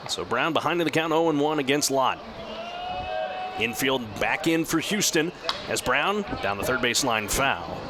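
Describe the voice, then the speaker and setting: A man working as a radio play-by-play announcer, giving live baseball commentary in a measured, descriptive way that lifts with the action. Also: medium pitch, animated. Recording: clear outdoor ballpark background noise. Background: outdoor stadium ambience.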